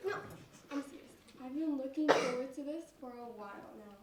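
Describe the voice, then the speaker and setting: A woman's voice: high-pitched, brisk, lightly acted. A woman playing a polite young woman on an awkward first date in an amateur stage comedy, recorded in an echoey theater from far off. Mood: friendly, chatty. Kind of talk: amateur one-act comedy stage acting. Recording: distant mic, echoey noisy school theater.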